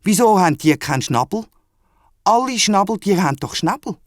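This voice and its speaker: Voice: mid-range voice, fairly quick, no room sound, studio quality, voice pushed up, natural voice. A storyteller voicing an eager, naive, forgetful young male platypus with a Swiss German accent in a children's audio story. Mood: naive wonder. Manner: excitable, naive, questioning.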